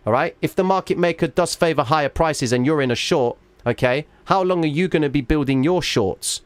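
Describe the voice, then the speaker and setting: A man's voice: fairly high pitch, a little fast, clear, resonant tone, no echo. Male trading streamer, losing his voice. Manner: emphatic, checks in with "all right?" often. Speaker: trading livestream host. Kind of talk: live chart walkthrough to viewers.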